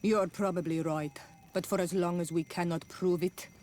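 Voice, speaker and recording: A woman's voice: lowish voice, steady tone, normal speed, a little mellow, slight background noise, natural voice. A woman playing a proud, grieving native village leader in a video game, solemn and resolute. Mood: questioning. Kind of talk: video game cutscene acting.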